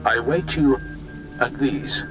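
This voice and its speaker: An actor voicing a solemn, honor-bound Sith warrior lord in a video game, speaking formally and with measured gravity. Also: male voice, medium pitch, over music, lightly acted, low-quality recording. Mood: grave, challenging. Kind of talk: dramatic video game acting.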